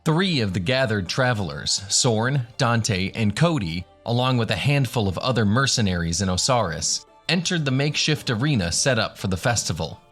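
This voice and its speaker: A man's voice: on the low side, animated, normal speed, a bit bright, soft background music. A man narrating a scripted fantasy adventure as the game master of a radio drama.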